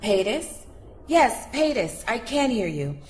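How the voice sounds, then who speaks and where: A woman's voice: a little high, big pitch swings, slow pace, darkish tone. A woman playing an earnest, intrepid field reporter giving a live report from aboard a spaceship in an audio drama. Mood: brisk, reporting. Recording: noisy spaceship ambience behind voice.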